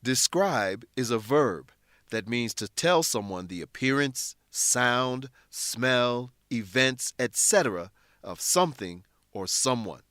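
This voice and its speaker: A man narrating a scripted English lesson in a deliberate, instructional manner. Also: mid-range voice, animated, fairly bright tone, no room sound, studio quality.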